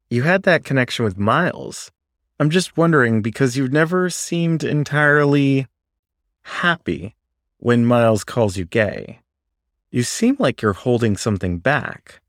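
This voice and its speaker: A man voicing a supportive young female panther college friend in a story, gentle, careful and concerned. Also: medium pitch, no echo, clean studio sound, close to an everyday voice. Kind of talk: audiobook character dialogue.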